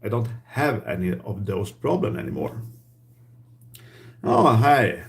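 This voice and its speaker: Swedish guy hosting a live q&a, lowish voice, talks real slow and halting, hunting for english words. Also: expressive. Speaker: livestream host. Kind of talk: casual live stream Q&A.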